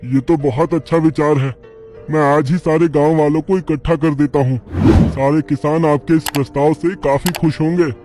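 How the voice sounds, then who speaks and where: A man's voice: a little high, fairly even intonation, moderate pace, dark tone, soft background music, lightly acted. A man playing a humble, hospitable poor farmer, polite and deferential, in a moral-story cartoon. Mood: hopeful.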